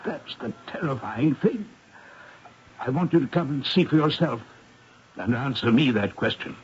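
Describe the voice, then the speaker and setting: An actor playing a courteous, shaken titled gentleman in a 1970s radio drama, speaking in a subdued, formal, hushed way. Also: mid-range voice, animated, normal speed. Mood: shocked, grave. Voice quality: husky, hushed. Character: courteous, shaken gentleman knight, a dead man's uncle. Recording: muffled old radio broadcast.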